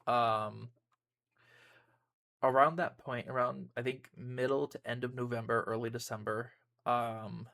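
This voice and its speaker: A man's voice: mid-range voice, little pitch movement, measured pace, creaky, pauses often, a bit bright. A young man, a YouTuber and streamer, giving a casual personal update to his viewers, rambling with frequent ums and restarts.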